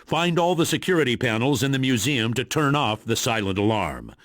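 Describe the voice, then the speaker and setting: Commanding mission chief, animated pitch. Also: male voice, no echo, clean studio sound. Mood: businesslike. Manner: authoritative, measured, formal. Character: serious, commanding male agency chief briefing heroes. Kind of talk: video game voice acting.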